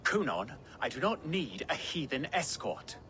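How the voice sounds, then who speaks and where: Pious young villager, video game, sour, disdainful, lively intonation, noisy ambience. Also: male voice, mid-range voice, measured pace, full character voice. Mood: reluctant, stiff. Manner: sardonic, sanctimonious. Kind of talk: video game cutscene acting.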